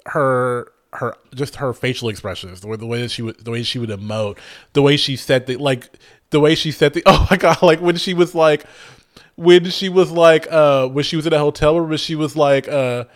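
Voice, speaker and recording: A man co-hosting a casual podcast, reviewing a TV comedy with animated enthusiasm and lots of restarts. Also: fairly high pitch, normal speed. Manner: animated, gushing, frequent restarts and swearing. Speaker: podcast co-host. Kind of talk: casual chat reviewing a TV show.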